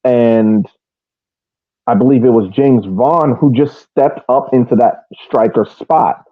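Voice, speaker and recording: A man talks through a football match as a podcast co-host. His delivery is casual and detailed, with repeated phrases and restarts. The sound is narrow and phone-like, very dry, at a middling male pitch. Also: no echo. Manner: halting, repeats and restarts phrases while building detailed points. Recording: thin phone-like band-limited audio.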